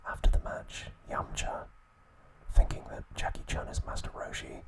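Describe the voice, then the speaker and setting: A man narrating an ASMR recap in a soft, breathy whisper, with measured delivery in short phrases. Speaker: ASMR video host.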